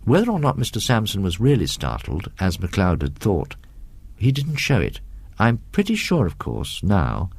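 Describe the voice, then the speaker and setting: A man's voice: lowish voice, wildly swooping pitch, husky. British man narrating, widely varied intonation. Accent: British English. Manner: measured, reminiscing, conversational asides. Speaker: story reader. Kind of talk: audiobook ghost story narration, first-person reminiscence.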